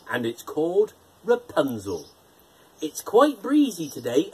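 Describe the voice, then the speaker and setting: A storyteller narrating a children's fairytale in an expressive, theatrical manner. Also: mid-range voice, slight background noise, rough recording.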